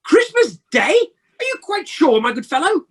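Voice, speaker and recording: An actor playing an emotional, repentant old male miser in an amateur radio play, with theatrical, halting exclamations. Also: sits high, big pitch swings, fairly bright tone. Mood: giddy joy. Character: repentant old male miser, desperate then giddily joyful. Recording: home video-call audio.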